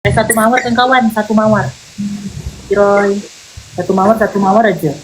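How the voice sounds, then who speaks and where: A woman of Malay background hosting a livestream, chatting and teasing her guest in quick bursts. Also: medium pitch, steady tone. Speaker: livestream host. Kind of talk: casual teasing chat on a livestream battle. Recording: noisy livestream audio.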